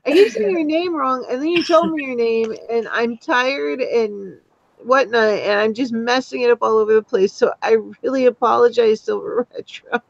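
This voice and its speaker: A woman's voice: fairly high pitch, normal speed, creaky, no room sound. A woman hosting a casual live stream, chatting with guests and reading viewer comments aloud. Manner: chatty, reads viewer comments aloud, sings along off-key. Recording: dull lo-fi stream audio.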